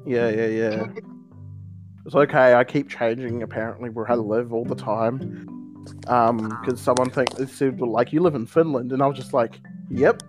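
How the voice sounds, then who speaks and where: A man's voice: medium pitch, fairly even intonation, normal speed, over music. A young man co-hosting a casual joking podcast, rambling with lots of fillers and frequent laughter. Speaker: podcast co-host.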